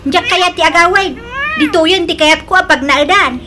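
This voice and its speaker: A headstrong old mother in love in a comedy radio drama, speaks in a high voice. She is coquettish, insistent and dramatic, and the recording has clear background noise. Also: normal speed. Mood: stubborn.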